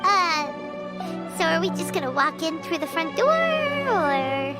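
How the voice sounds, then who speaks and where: Squeaky little fairy companion. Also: female voice, animated, takes it slowly, over loud music, full character voice. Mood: puzzled. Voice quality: squeaky, bouncy. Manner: refers to herself in the third person. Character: tiny floating female fairy companion, chirpy and blunt.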